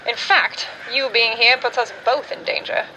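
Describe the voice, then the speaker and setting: A woman playing a brisk, secretive undercover wartime agent in a radio drama. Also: fairly high pitch, steady tone, pushed and bright, slight background noise, low-quality recording. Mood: urgent, scolding. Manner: urgent, scolding.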